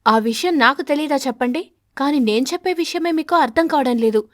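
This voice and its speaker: A woman voicing an indignant wife pigeon in an animated story, scolding and firing accusing questions. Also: high-pitched, brisk, no echo, clean studio sound, lightly acted.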